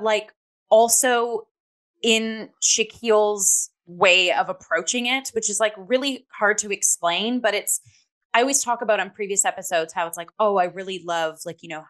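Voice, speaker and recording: A woman's voice: medium pitch, a bit bright. Effusive young woman chatting theatre. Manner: effusive, emphatic, conversational with many likes. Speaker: theatre review podcast host. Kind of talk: casual theatre review chat.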